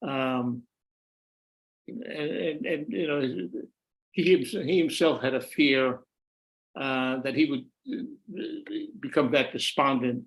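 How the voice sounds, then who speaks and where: A man's voice: mid-range voice, slow pace, rough recording. An older man, an author being interviewed on a video call, answering thoughtfully with many restarts and repeated words. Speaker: author and former journalist, guest. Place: home room.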